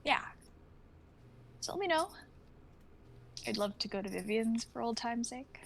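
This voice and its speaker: A lonely, anxious young woman with a fairly high voice, acted by a woman in a dramatic script reading. There is some faint noise in the amateur livestream recording. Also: animated, a little slow, vocal fry. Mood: tentative. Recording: noisy amateur livestream audio.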